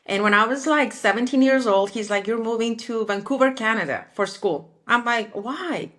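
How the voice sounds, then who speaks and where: A woman in her forties from Mexico, speaking as an interview guest, lively and acting out remembered conversations. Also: on the high side, moderate pace. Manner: animated, acts out quoted dialogue. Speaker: guest, architectural designer.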